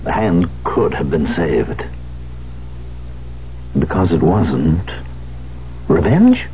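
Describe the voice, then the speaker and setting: An actor playing an embittered, agitated pianist in a theatrical radio drama style. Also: male voice, sits low, very animated, drawn-out words, full character voice. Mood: agitated, disbelieving. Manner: theatrical, insistent. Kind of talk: 1970s radio drama acting. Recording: dull old radio drama recording, hum.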